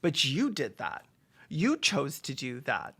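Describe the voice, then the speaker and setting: A video essayist delivers sarcastic commentary on a film in a mid-pitched voice. The manner is casual and sweary, like someone picking a story apart for friends. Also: huge pitch swings, slow, a bit bright, husky.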